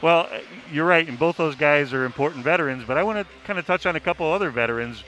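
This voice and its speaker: A man's voice: on the high side, fast talker, a bit bright, rough recording. A man giving casual basketball analysis as a sports writer, informal and sometimes stumbling over his words.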